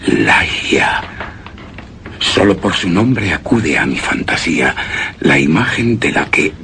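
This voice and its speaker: A very deep, gravelly, haunted widower. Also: male voice, lively intonation, background noise, exaggerated voice. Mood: brooding. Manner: slow, halting, dramatic, with breathy whispered words. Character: brooding, grief-haunted widower recalling his lost beloved wife. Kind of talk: suspense and horror radio theatre acting, first-person narration.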